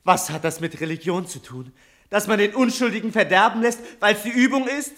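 An actor playing a passionate, idealistic teenage son in a German radio play, vehement and repeating himself. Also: male voice, high voice, animated, fairly quick, fairly bright tone. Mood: indignant, defiant.

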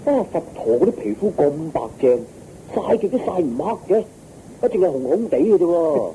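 Eager, lively-pitched young farm son. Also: male voice, normal speed. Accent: Hong Kong Cantonese. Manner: eager, respectful, placating. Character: earnest, dutiful young farmer son. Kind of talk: radio drama acting. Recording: muffled radio recording, faint noise.

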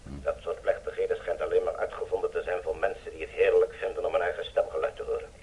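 An actor playing a weary, bitter older politician from behind the Iron Curtain in a radio play, brooding and confessional. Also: male voice, low-pitched, fairly even intonation, quick pace, darkish tone. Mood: cynical, weary. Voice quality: gravelly, husky. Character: weary, bitter older Eastern Bloc politician, guilt-ridden. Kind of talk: radio drama acting.

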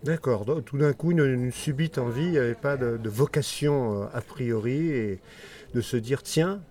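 Male literary interviewer, mid pitch, mellow tone, searches for words. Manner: searching for words, halting questions.

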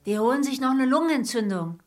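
A man's voice: very high-pitched, lively intonation, quick pace, soft background music, pitched above the performer's own voice. A man reading an audiobook, voicing a young mother with a teasing, laughing manner. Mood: hurried. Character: young mother, playful and fond.

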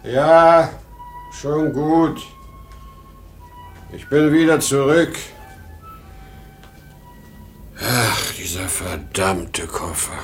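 A man's voice: medium pitch, extremely slow, pauses often, soft background music. An actor playing an exhausted, rambling salesman past sixty, proud and erratic, in a vintage German radio drama. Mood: exhausted, agitated. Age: 60s. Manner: weary, rambling, given to boastful outbursts. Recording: noisy vintage 1950 radio recording.

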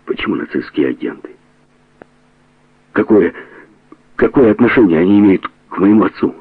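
A man's voice: on the low side, lively intonation, normal speed, narrow, tinny audio. A man voicing a shaken, insistent son who demands the truth about his father in an audiobook.

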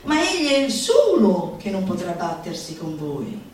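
A woman's voice: fairly low pitch, big pitch swings, moderate pace. A woman gives a theatrical, declamatory and mocking recitation, stretching words for emphasis. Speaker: actress performing a literary monologue. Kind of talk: theatrical recitation of a satirical manifesto. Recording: very echoey room, distant, music behind. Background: music.

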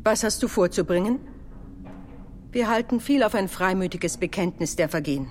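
A woman's voice: medium pitch, animated, normal speed, a little mellow, a little hiss or hum, natural voice. An actress in a radio play voicing a stern, dignified Mother Superior of a convent, formal and authoritative.

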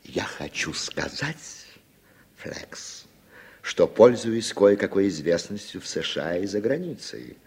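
An actor playing a cynical, hard-drinking, defiant American radio commentator in a Soviet radio drama, with a sardonic, unhurried way of talking. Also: male voice, sits low, big pitch swings, creaky, a little mellow, husky, exaggerated voice. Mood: cool, sardonic. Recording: 1960s radio recording, guitar music behind.

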